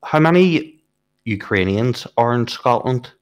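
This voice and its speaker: A man in a casual political discussion who raises points in a measured, questioning way and builds them slowly with hesitant fillers. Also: mid-range voice, expressive. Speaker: political podcast co-host.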